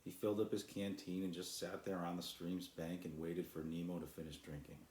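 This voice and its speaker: A man's voice: sits low, flat delivery, a little fast, darkish tone, roomy sound. A man who is an author, reading and introducing his own children's story as a storyteller. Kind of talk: storybook narration.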